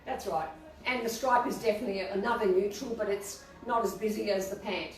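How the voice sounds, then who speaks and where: A woman with a quiet voice co-hosting a fashion live stream, bubbly and rambling. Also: mid-range voice, a little fast. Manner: bubbly, rambling, self-deprecating. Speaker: fashion boutique co-host. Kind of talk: casual live-stream chat. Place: echoey room. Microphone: no mic, far from laptop camera. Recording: echoey room, far from mic, faint hiss.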